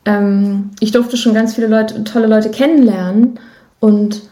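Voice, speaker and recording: A woman's voice: mid-range voice, fairly even intonation, measured pace, warm tone. A young woman chatting casually as an interview guest, enthusiastic and emphatic in the way she talks. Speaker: interview guest, musician and voice actor.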